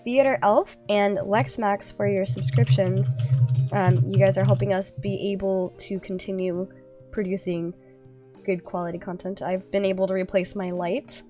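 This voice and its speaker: A woman's voice: mid-range voice, flat delivery, normal speed. Young female podcast co-host, nasal with a cold, giggly and filler-heavy, faint music bed. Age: young adult. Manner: giggly, chatty, many fillers. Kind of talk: casual podcast chat.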